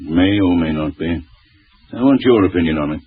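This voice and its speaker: An actor playing a brisk senior police chief inspector, gruff and husky, with dry understated authority, in 1940s radio drama style. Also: male voice, deep voice, animated. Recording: thin, phone-like old radio recording.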